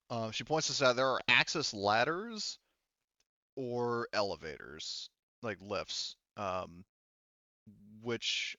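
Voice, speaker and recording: A man acting as game master in a tabletop roleplaying game, explaining things in a casual, halting way. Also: medium pitch, sharp tone, smooth, no room sound, lo-fi sound.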